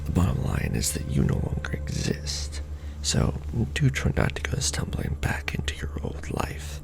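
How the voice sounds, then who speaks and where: A man playing a jaded, sardonic manager at a secret society in an ASMR roleplay, speaking in a dry, world-weary deadpan. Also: rumbling low, normal speed, creaky, full character voice. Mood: weary, businesslike. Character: jaded, sardonic male Illuminati manager briefing a new recruit.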